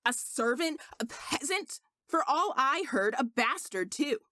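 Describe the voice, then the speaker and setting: A woman's voice: sits high, animated, a little slow, pushed and bright, dry recording, studio quality, heavily acted. A woman voicing a haughty, scoffing royal male sorcerer with a pompous, scornful way of talking in a story reading.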